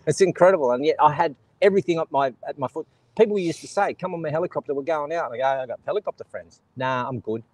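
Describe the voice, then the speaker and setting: A man's voice: fairly high pitch, fast, few pauses, darkish tone, dry recording, low-quality recording. A man with an Australian accent hosting a casual conversation, joking, laughing often and reading out viewer comments. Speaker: host. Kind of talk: casual chat.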